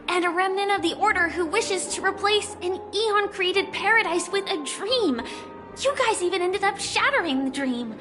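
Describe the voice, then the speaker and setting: An actress voicing a quiet young woman on the run in a game, speaking softly and reflectively. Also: very high voice. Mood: friendly. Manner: soft, reflective, hushed. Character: quiet young woman fugitive, soft and melancholic. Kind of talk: game dialogue.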